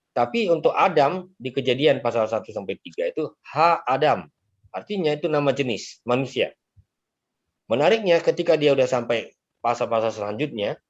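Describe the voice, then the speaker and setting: Calm explaining pastor, dull audio. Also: male voice, mid-range voice. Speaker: pastor and Bible translation expert. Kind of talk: answering questions in an online seminar.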